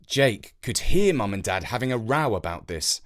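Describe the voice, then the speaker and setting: A narrator reading a scripted children's story with expressive, deliberate phrasing. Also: mid-range voice.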